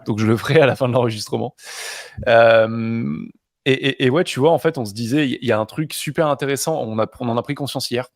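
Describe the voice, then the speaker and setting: A man's voice: mid-range voice, fairly quick, dry recording, studio quality. A man co-hosting a podcast in an informal, familiar way, often thinking aloud as he talks. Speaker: podcast co-host and interviewer. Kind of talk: casual conversational interview.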